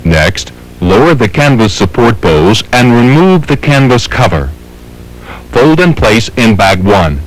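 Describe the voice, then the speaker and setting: A man's voice: mid-range voice, big pitch swings, measured pace, low-quality recording. A man with an American accent reading scripted instructional narration in a formal, methodical, step-by-step way. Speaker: training film narrator.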